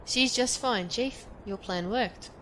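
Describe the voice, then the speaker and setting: A woman's voice: fairly high pitch, slow pace, a bit bright, a little hiss or hum, rough recording. An actress playing a tough, confident female soldier with a wry manner in an audio drama. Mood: reassuring. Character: tough, cocky female soldier, threatening and loyal.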